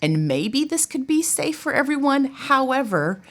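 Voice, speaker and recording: A woman's voice: sits high, very animated, unhurried, fairly bright tone. A woman speaking informally and chattily as an interview guest, a theatre director who laughs easily. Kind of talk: conversational interview answers.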